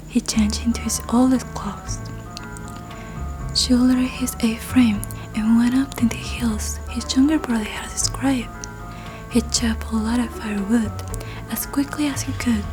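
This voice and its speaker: Female ASMR storyteller, slightly high voice. Soft, unhurried whisper for folk tale narration, music underneath. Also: rough recording.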